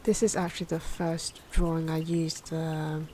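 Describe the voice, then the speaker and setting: A soft-spoken woman giving ASMR drawing commentary, often slipping into a breathy whisper, with hesitant, unhurried phrasing. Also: low voice, level tone. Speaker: ASMR video creator narrating own drawing. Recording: noisy, pencil scratching and ambient sound. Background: pencil and pen noises, outdoor wind.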